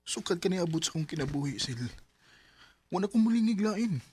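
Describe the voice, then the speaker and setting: A man's voice: high-pitched, lively intonation, fairly quick, a little mellow, a little hiss or hum. An actor playing an unfaithful man with three children who makes defensive excuses in a radio drama. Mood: pleading.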